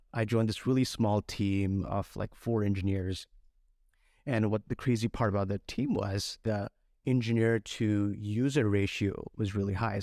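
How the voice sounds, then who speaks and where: A man's voice: on the low side, a little mellow, no echo, studio quality. A man from Bangladesh, a startup co-founder, answering steadily in a podcast interview with fillers.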